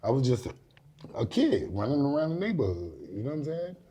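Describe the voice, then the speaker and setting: A man's voice: medium pitch, very animated, a little fast, mellow. Blunt man in his forties telling his story. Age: 40s. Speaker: guest. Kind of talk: casual talk show interview. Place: studio set.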